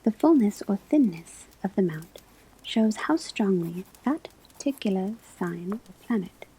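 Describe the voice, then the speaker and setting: A woman whispering in a breathy, husky voice, delivering a scripted ASMR classroom lecture as a teacher, with deliberate, measured phrasing. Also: mid-range voice, expressive, dark tone, rough recording. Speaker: ASMR performer playing a divination class teacher.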